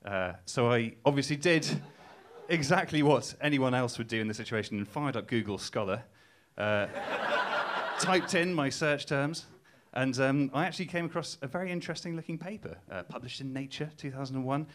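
A man's voice: medium pitch, normal speed, fairly bright tone, a little hiss or hum. Young Geordie man doing stand-up. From England. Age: young adult. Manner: casual, self-mocking, hesitant with ums. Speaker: comedian. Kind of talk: stand-up comedy set. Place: live stage before laughing audience.

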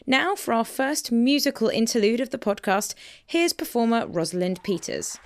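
Lively woman host announcing acts. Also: on the high side, normal speed. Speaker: podcast host. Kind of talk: introducing acts.

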